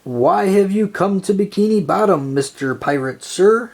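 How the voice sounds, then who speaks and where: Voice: medium pitch, expressive, a little slow, creaky. A storyteller voicing a nervous, eager, childlike male cartoon sea sponge in a children's storybook.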